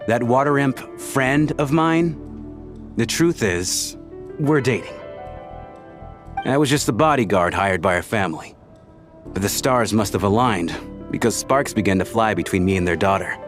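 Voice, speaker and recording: An actor playing a cagey, lovestruck bodyguard from centuries past in a video game, speaking in a hesitant, confiding, dramatic way. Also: male voice, on the low side, a little slow, pauses often, close to an everyday voice. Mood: bashful, smitten. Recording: game music behind the voice. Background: game music.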